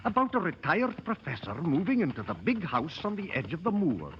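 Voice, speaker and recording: An actor playing a canny, folksy Scottish village cart driver in 1930s radio style. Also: male voice, on the high side, huge pitch swings, a little fast. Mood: musing, doubtful. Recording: noisy, muffled 1930s radio broadcast.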